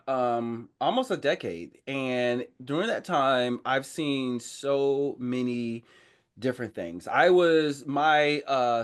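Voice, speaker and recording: A man speaking as a podcast guest, telling his own story in a casual, informal, animated way and often interrupting himself. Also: medium pitch. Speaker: podcast guest, army veteran. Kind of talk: casual conversational personal storytelling.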